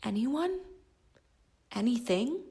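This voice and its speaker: A woman's voice: a little high, big pitch swings, slow, vocal fry, warm tone, heavily acted. A woman playing a sickly, pious colonial wife in an audio drama, earnest and frightened, with old-fashioned prayerful phrasing. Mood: tempted.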